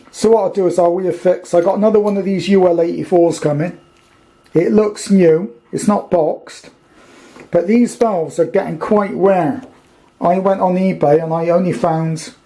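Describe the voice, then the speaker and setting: Mellow, slow-talking British man. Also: a little high. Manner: hesitant, thinking aloud. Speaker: hobbyist radio restorer presenting a video. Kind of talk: casual unscripted hands-on demonstration. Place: indoors in a small domestic kitchen. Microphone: handheld camera's built-in microphone.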